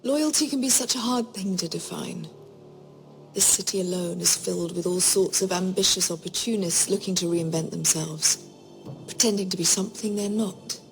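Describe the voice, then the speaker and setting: An actress playing a haughty, menacing fantasy queen who speaks in a measured, condescending, coldly formal way. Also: on the low side, soft background music, close to an everyday voice. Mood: probing disdain. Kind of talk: dramatic fantasy game acting.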